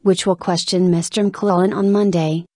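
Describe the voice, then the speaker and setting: A woman's voice: on the low side, steady tone, normal speed, darkish tone, no echo, studio quality. A woman reading a written news article as formal scripted narration.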